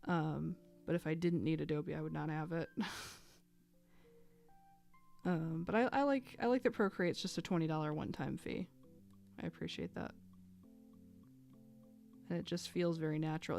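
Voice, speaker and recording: Woman streaming her drawing, gravelly low voice, a little hoarse, rambling, soft guitar music behind. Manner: chatty, thinking aloud, with small laughs and fillers. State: losing her voice. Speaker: artist streaming live. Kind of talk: casual live-stream chat while drawing.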